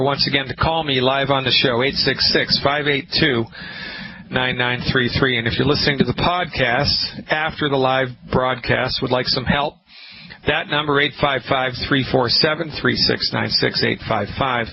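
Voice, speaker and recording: A man's voice: mid-range voice, gravelly. A man with a Boston accent hosting a call-in health radio show, talking in a folksy, emphatic way and repeating his points. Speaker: radio show host, naturopath. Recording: dull lo-fi radio broadcast with faint hiss.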